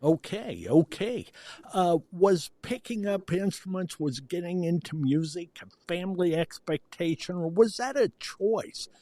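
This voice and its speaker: A man's voice: high voice, lively intonation, measured pace, dark tone, no room sound. A man hosting a podcast, giving introductions and asking interview questions. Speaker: podcast host.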